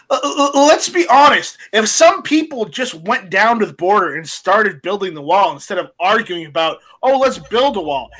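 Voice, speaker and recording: A man's voice: high voice, a bit bright, low-quality recording. A man hosting a casual podcast, joking and rambling with frequent restarts. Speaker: podcast host.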